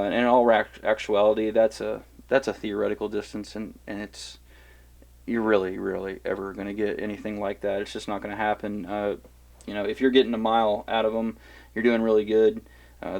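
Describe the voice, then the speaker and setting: A man's voice: on the low side, gravelly, darkish tone. An American man from the Kansas City area giving a casual, rambling gear review and field test, full of fillers and restarts. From Kansas City area, USA. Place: outdoors in wooded hilly area.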